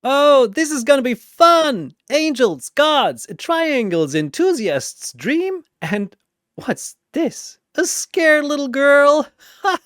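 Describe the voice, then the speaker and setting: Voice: sits high, sing-song, a bit bright, no room sound, clean studio sound, pitched above the performer's own voice, heavily acted. A narrator voicing a gleeful, mad dealmaking triangle demon in a theatrical, taunting story performance. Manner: theatrical, taunting, drawn-out words.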